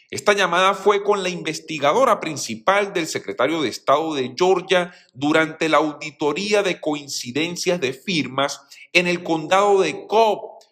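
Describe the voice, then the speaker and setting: A man's voice: on the high side, a bit bright. A man giving news commentary as a host, explaining things and addressing viewers directly.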